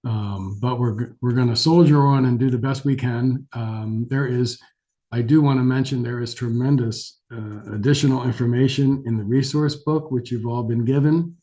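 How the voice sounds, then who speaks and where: A man's voice: medium pitch, steady tone, normal speed, warm tone. A man with a cold and a scratchy voice gives a conversational, self-deprecating webinar lecture as a physician, speaking a little hesitantly. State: has a cold. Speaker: geriatric physician giving a lecture.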